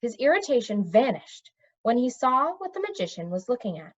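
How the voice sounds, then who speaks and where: Female storybook narrator, expressive, slightly high voice with wide intonation, on a slightly muffled recording. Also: fairly quick. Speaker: storybook reader. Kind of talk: expressive read-aloud narration of children's fantasy.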